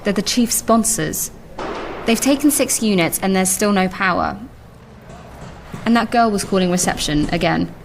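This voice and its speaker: Brisk, matter-of-fact female colleague played by an actress. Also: mid-range voice, lively intonation, slight background noise, natural voice. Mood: businesslike. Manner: brisk, businesslike. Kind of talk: audio drama acting.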